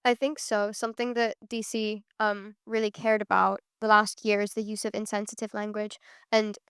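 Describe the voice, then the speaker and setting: Smooth-voiced young woman, flat delivery. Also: medium pitch, no room sound, studio quality. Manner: measured, frequent um fillers. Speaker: student panelist. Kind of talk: answering panel questions.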